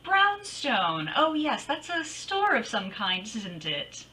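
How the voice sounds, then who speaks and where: A woman's voice: a little high, sing-song, slow pace, bright, forceful tone, heavily acted. A woman playing a wisecracking, breezy female private detective in old-time radio style, with wry, slangy delivery. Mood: flip. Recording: distant microphone.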